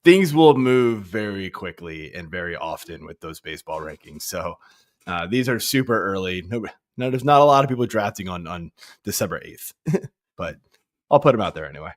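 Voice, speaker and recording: A man's voice: low voice, animated, moderate pace, no echo. A man in his thirties, a guest analyst on a sports podcast, talking casually and rambling with lots of "I mean.". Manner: rambling, full of restarts and "I mean". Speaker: guest fantasy football analyst.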